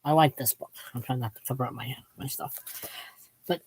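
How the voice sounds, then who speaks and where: Low-voiced rambling woman in her fifties. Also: fairly quick, darkish tone, husky. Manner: self-deprecating, opinionated, digressive, sighing. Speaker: book vlogger. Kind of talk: casual rambling book talk to viewers.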